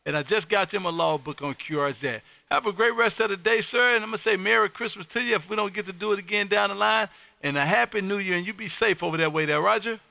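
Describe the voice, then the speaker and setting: A man hosting an amateur radio livestream, chatting casually with a loud, folksy showman's manner. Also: sits high, fast talker, gravelly, no room sound. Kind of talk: casual radio contact chat. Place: home radio room.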